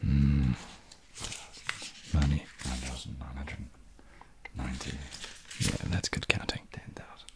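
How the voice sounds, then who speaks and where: A man in his thirties playing a smug, seductive inner alter ego in an ASMR short film, in a breathy whisper with a slow, intimate, slyly cocky manner. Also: extremely low pitch, flat delivery, noisy background. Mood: hushed, gloating. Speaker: ASMR performer.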